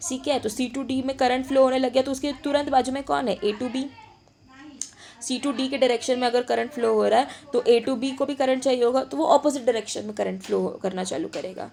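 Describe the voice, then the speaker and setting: A woman's voice: sits high, fairly even intonation, a little fast, gravelly, mellow. A woman with an Indian accent giving an informal step-by-step lecture, switching constantly between Hindi and English and repeating her points. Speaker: physiotherapy teacher.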